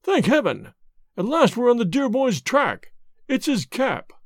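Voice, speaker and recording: A man voicing an agitated middle-aged male headmaster in an audiobook, speaking with excited, breathless relief. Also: very high voice, a little slow, no room sound, clean studio sound, pitched above the performer's own voice, heavily acted.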